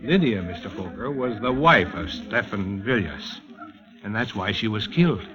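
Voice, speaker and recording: A man's voice: on the low side, animated, moderate pace, close to an everyday voice. Man playing a sly, needling police inspector. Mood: pointed, knowing. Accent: American. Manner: folksy, sardonic, knowing. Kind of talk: 1940s radio suspense acting. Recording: dull old radio broadcast recording.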